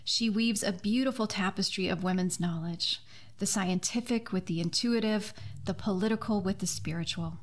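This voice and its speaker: Earnest woman hosting a podcast. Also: medium pitch. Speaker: podcast host and author.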